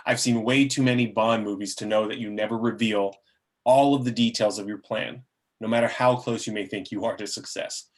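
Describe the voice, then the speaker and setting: A man's voice: lowish voice, steady tone, a little fast, natural voice. A man playing a bitter, scheming male demon and fallen muse in a script table read, speaking in theatrical, grandiose monologue with the odd stumble. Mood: gloating. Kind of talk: amateur table reading of a film script.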